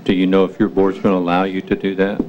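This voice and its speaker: A middle-aged man chairing a city council meeting, speaking in a measured, hesitant way. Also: sits low, monotone. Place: council chamber at a table. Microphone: table microphone. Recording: dull meeting recording, faint noise.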